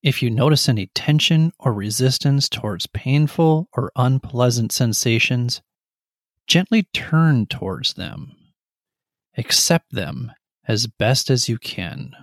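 A middle-aged man guiding a meditation, calmly and gently, in short, measured phrases. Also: mid-range voice, no room sound, studio quality. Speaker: meditation guide. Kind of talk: guided meditation narration.

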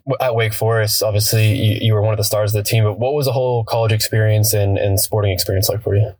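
A man's voice: lowish voice, little pitch movement, fast talker, darkish tone, no echo. A man hosting a sports podcast, introducing the episode and asking friendly, conversational questions. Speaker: podcast host. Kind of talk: podcast interview.

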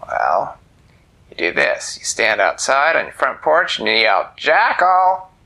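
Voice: mid-range voice, very animated, a little slow, vocal fry, sharp tone, full character voice. A storyteller voicing an ancient, grumpy male cat who talks in curt, whispery grumbles.

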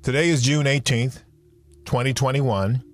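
A man hosting a talk podcast, his voice at an ordinary middle pitch. He speaks in a measured, deliberate way, with soft music under him. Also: fairly even intonation. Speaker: podcast host.

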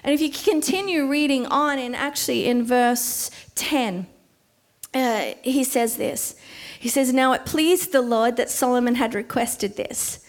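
A woman in her forties preaching a sermon, slowing to stress key words. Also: high voice. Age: 40s.

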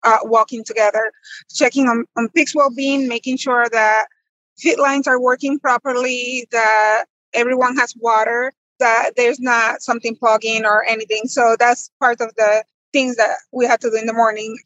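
A woman's voice: a little high, flat delivery, moderate pace, fairly bright tone, no echo, lo-fi sound. A woman in her thirties from the Republic, answering interview questions conversationally as a farmer guest. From Dominican Republic.

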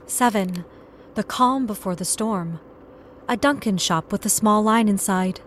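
A woman playing a sober narrator who catalogues photographs in measured, clipped phrases for an audio drama. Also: mid-range voice, slight background noise, lightly acted. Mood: somber, measured.

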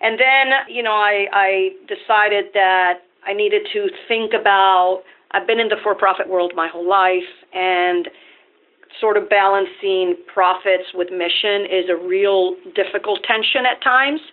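A woman from Venezuela, a company CEO and podcast guest, telling her career story in a measured, explanatory way. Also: medium pitch, fairly even intonation. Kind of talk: podcast interview. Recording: tinny phone-line sound.

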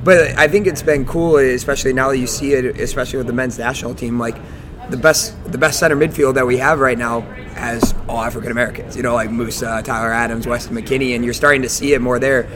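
A man's voice: medium pitch, fairly even intonation, fast. Young guy asking questions, lots of "like", crowd chatter behind. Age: young adult. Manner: casual, many "like" fillers. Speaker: interviewer.

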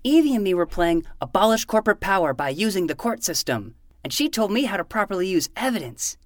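Woman playing an earnest, lively twelve-year-old boy detective. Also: medium pitch, a little fast, no echo, studio quality, close to an everyday voice. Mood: matter-of-fact, precocious. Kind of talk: fiction podcast acting.

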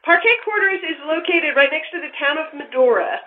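A woman's voice: high voice, expressive, a little fast, slight background noise. A woman giving formal, precise, prepared answers as an official in an interview. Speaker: park official being interviewed. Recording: thin phone-like line.

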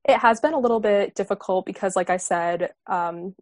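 A woman of about twenty from the Boston area, a student guest on a panel who talks in a direct, quick way. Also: mid-range voice, no room sound, clean studio sound. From Boston area, USA. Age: around 20. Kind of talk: discussion on a panel.

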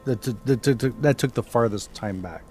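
A middle-aged man hosting a podcast, casually telling spooky stories with halting, repeated starts. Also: medium pitch, a little mellow, husky, faint music behind. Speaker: podcast host and storyteller.

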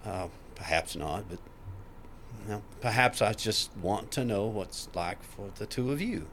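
A man's voice: lowish voice, animated, measured pace, a bit bright, a little hiss or hum. An actor playing a polite, curious male colleague in a fiction podcast, speaking carefully and hesitantly. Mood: tentative, curious. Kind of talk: scripted fiction podcast acting.

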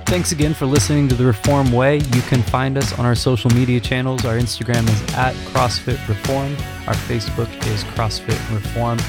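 A voice reading a podcast outro in a flat, steady way. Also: medium pitch, fairly quick, few pauses, loud music behind the voice.

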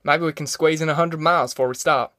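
A man's voice: on the high side, fairly even intonation, fast, fairly bright tone, no room sound, clean studio sound, lightly acted. A man voicing a bewildered, blustering middle-aged farmer father with a rural Southern American accent, in an audiobook. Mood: practical. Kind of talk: audiobook character acting.

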